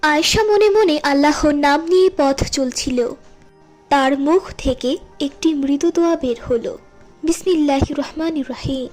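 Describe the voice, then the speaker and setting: A woman's voice: sits high, unhurried, darkish tone. A woman narrating a scripted moral story for a cartoon. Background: music.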